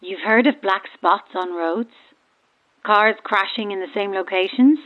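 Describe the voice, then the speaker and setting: A woman's voice: medium pitch, unhurried. Stranded boat woman on narrow, tinny marine radio: chatty yet cryptic, knowing ghost believer, audio horror drama. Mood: knowing, teasing. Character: eerie, self-aware female ghost believer calling from stranded boat. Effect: radio transmission filter. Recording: thin, band-limited marine radio.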